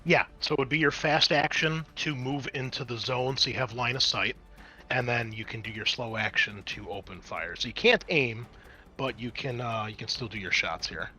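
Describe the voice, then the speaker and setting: A man's voice: mid-range voice, normal speed, pushed and bright, faint music behind. A man acting as game master, casually explaining rules and narrating a tabletop game.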